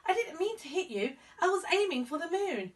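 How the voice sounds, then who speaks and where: A woman's voice: very high-pitched, very animated, a little fast, recorded from a distance, voice pushed up. A woman voicing a proud, earnest young elephant-headed boy god in a children's storybook, with a childlike manner.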